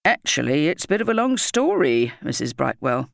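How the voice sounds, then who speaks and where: A woman's voice: low voice, very animated, moderate pace, creaky, dry recording, studio quality, exaggerated voice. A woman voicing a pompous, bossy, self-important middle-aged man in an audiobook story. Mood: boastful, self-important. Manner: breathless spluttering and puffed-up boasting.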